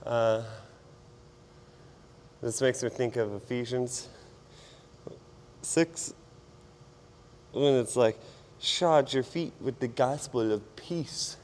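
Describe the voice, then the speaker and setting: A man with a hoarse, partly lost voice talks casually and self-deprecatingly as a fitness vlogger while he exercises. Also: mid-range voice, slow pace, pauses often, darkish tone, a little hiss or hum. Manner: informal, self-deprecating, rambling while exerting himself. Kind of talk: casual workout vlog chat while exercising.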